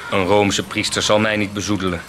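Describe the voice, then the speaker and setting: An actor playing a stern, zealous peasant prophet and rebel in a radio drama, speaking fervently and without compromise, like a preacher. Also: male voice, fairly low pitch, little pitch movement, a little fast, fairly bright tone, slight background noise, lightly acted. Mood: defiant. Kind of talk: theatrical radio drama acting.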